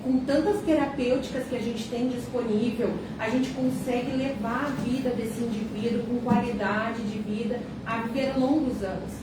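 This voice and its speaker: A woman oncologist calmly explaining cancer care as a radio guest. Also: fairly high pitch. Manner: calm, reassuring, explanatory. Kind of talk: explanatory interview talk. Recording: very echoey room, distant mic, noise.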